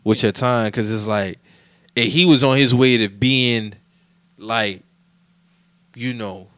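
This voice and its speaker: An American man in his late twenties speaks in a casual, candid conversation. His pitch sits mid-range and the sound is dry. He leans on slang and swearing, often checking that the listener follows. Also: slow pace, a bit bright, no echo. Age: late 20s. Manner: heavy slang and profanity, repeated check-in phrases.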